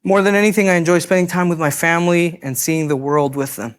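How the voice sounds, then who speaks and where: A man from Guatemala in his thirties giving an earnest, measured keynote talk on a conference stage. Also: a little high, fairly even intonation, clear, resonant tone. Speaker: keynote speaker. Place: conference stage before an audience.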